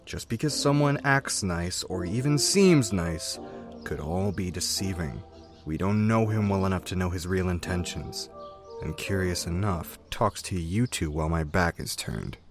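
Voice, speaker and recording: Man voicing a cold field mouse, deadpan, suspicious, very deep voice, music underneath. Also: measured pace. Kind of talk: fan comic dub. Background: background music.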